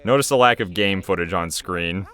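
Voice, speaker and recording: A man's voice: low voice, moderate pace, fairly bright tone, faint music behind. A man giving casual, off-the-cuff commentary as a video creator, chatty and rambling.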